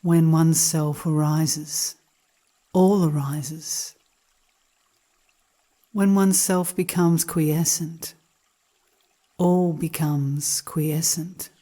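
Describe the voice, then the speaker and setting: A woman reading spiritual teachings as a guided meditation, in a calm, measured and contemplative manner. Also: low-pitched.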